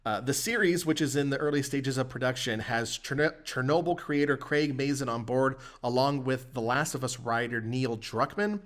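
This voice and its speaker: A man's voice: medium pitch, moderate pace, hardly any pauses, fairly bright tone. Male podcast host, mid-range voice, casual news-reading and chat.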